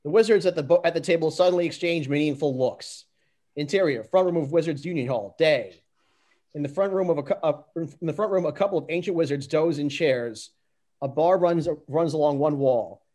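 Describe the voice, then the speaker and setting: A man's voice: on the high side, fairly quick, warm tone. A man reading stage directions aloud in an amateur script narration, often stumbling and restarting. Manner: stumbles and restarts over the text.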